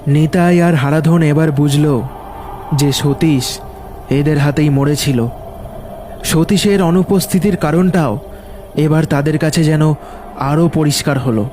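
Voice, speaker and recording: A man's voice: a little high, unhurried, long pauses. A man giving scripted, dramatic narration of a horror cartoon story. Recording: loud music behind the voice.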